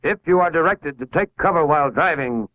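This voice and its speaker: A man's voice: on the high side, expressive, a little fast, fairly bright tone, slight background noise, low-quality recording. An American man narrating a scripted 1950s civil defense film in a formal, authoritative, instructional manner, on a vintage film soundtrack. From United States.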